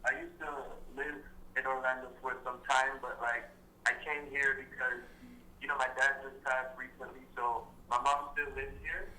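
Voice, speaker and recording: Man on a noisy phone call, halting. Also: mid-range voice, little pitch movement, pushed and bright. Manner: halting, with many fillers and restarts. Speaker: caller.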